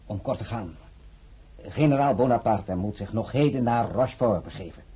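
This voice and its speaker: A stiff, formal government envoy. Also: male voice, on the low side, expressive, normal speed, mellow, breathy edge.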